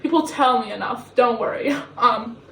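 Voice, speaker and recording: A young woman from the Middle East, not a native English speaker, gushes and giggles through a rambling book review. Her voice is high, and it echoes around a kitchen from a few steps away from the camera. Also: normal speed, vocal fry, recorded from a distance. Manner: giggly, excitable, self-mocking.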